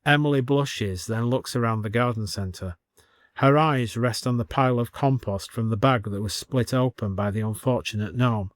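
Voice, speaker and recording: A man's voice: mid-range voice, normal speed, no room sound. A man narrating a scripted story as an audiobook reader.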